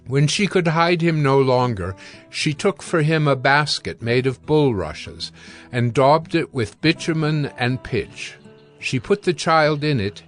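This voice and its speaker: A man narrates scripture in a scripted audiobook style. His voice is medium in pitch, with soft music underneath.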